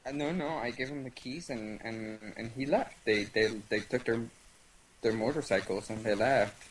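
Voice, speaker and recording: A young man from Venezuela whose first language is Spanish, telling stories in a halting casual interview with many fillers. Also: mid-range voice, a little hiss or hum, rough recording. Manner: halting, lots of fillers and restarts. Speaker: guest. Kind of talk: casual interview over voice chat.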